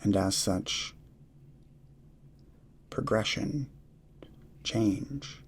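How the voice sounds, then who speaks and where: Slow, low, husky man guiding meditation. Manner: measured, halting delivery in short phrases with long pauses. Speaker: meditation guide. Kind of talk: guided meditation, slow instruction.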